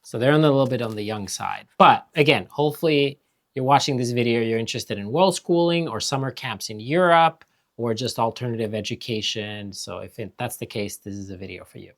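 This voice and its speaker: A man from Finland hosts a family vlog with his partner. He talks in relaxed, rambling chat full of fillers and asides, at an easy pace. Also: mid-range voice, brisk. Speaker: vlog host. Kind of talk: casual chat.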